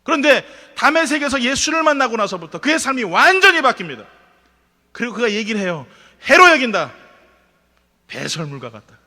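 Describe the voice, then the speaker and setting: A man preaching a Sunday sermon as pastor, animated, swinging between emphatic peaks and quiet asides. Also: very high-pitched, brisk, big-room sound. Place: church sanctuary.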